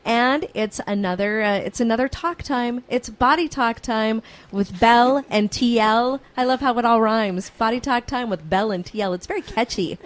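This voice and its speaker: A woman's voice: a little high, normal speed, few pauses. A woman hosting a radio talk show, chatty and joking with her guests. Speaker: radio host. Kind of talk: casual radio chat.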